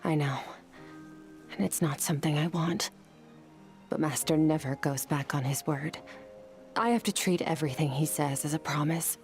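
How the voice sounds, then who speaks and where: Low, grave anime game swordswoman voiced by an actress, stoic and haunted, measured lines, faint music behind. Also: close to an everyday voice. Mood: somber, fearful. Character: stoic young swordswoman, somber, driven, haunted by fear of her master. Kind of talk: scripted video game voice acting.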